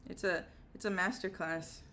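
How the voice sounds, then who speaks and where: Lower-pitched woman in casual chat. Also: steady tone, normal speed, fairly bright tone. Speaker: livestream co-host. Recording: faint background noise.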